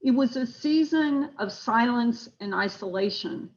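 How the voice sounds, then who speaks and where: A woman's voice: fairly high pitch, lively intonation, unhurried. Woman reading aloud, dull lo-fi audio. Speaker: law professor reading an entry aloud. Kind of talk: reading a personal essay aloud. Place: online video call. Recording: dull, lo-fi video call audio.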